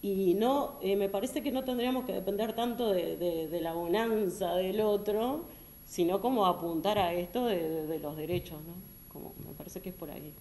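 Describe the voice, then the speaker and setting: A woman's voice: medium pitch, normal speed, mellow, a little hiss or hum. A woman panelist who works in early-childhood education, answering thoughtfully in a discussion. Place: echoey hall. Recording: echoey hall.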